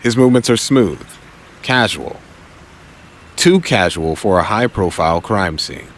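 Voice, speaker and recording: A man with a low voice narrates a scripted audio drama. His delivery is suspenseful and varied in pitch, broken by dramatic pauses, over faint background noise. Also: lively intonation.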